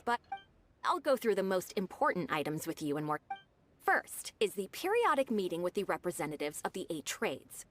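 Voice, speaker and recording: Polite young game secretary, businesslike, very animated intonation. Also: female voice, mid-range voice, slight background noise. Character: dutiful young female secretary, polite and efficient. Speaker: game character. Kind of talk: video game voice acting.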